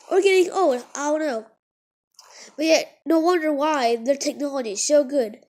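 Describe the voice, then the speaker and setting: A young child vlogger who is sick, rambling through a casual monologue with lots of fillers and restarts. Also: female voice, high voice, a bit bright. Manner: halting, many fillers and restarts.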